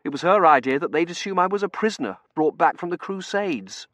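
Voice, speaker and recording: A man's voice: fairly high pitch, animated, brisk, fairly bright tone, no room sound, pitched above the performer's own voice. A man voicing a nervous, deferential young male undergraduate in an audiobook, hesitant and prone to stammering.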